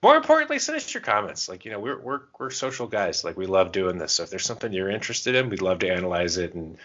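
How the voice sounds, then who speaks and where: Low, bright-voiced man on a lo-fi podcast. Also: very animated, quick pace. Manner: joking, self-deprecating. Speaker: podcast co-host. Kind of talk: casual chat.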